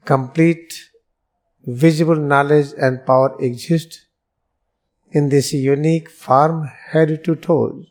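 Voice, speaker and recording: A man with an Indian accent leading a guided meditation as a yoga guru, speaking in solemn, deliberate, measured phrases with pauses. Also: a little high, darkish tone.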